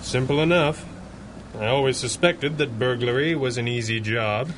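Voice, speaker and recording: A man's voice: mid-range voice, moderate pace, natural voice. A man plays a polished playboy crimefighter in a 1930s-style radio adventure. His voice sits in a middle register, dry and understated, with slight background noise. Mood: dry, composed. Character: suave billionaire playboy masked superhero. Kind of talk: 1930s pulp radio acting.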